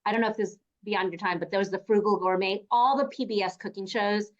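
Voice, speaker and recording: A woman's voice: medium pitch, fairly even intonation, fast talker. Encouraging woman host, dull recording. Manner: warm, encouraging, affirming. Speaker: podcast host. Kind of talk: interview conversation.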